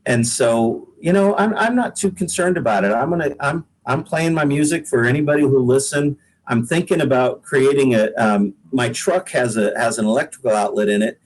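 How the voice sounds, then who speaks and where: A man's voice: medium pitch, moderate pace, hardly any pauses, poor audio. A middle-aged American man, a singer-songwriter chatting casually as a guest in a folksy, joking, rambling way. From Pacific Northwest, United States. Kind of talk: casual podcast interview chat.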